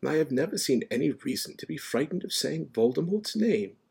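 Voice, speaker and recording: Man voicing a gentle, husky old wizard. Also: fairly high pitch, big pitch swings, voice pushed up, full character voice. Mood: mild, reasoning. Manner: mild, courteous, unhurried. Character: gentle, calm, whimsical old male wizard. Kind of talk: audiobook character voice.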